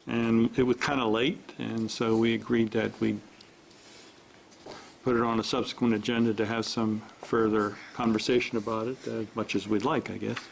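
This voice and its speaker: A man chairing a council meeting in a relaxed, hesitant way. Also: on the low side, normal speed, creaky, rough recording. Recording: faint background noise from a live stream.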